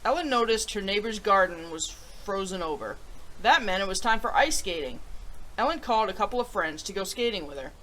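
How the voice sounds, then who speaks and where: Lady doing kids story time, reads out loud real animated and expressive, mid-range voice. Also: medium pitch, fairly quick, a little hiss or hum, rough recording. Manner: animated, expressive delivery aimed at children. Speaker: host of a children's story show. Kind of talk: storybook read-aloud for children.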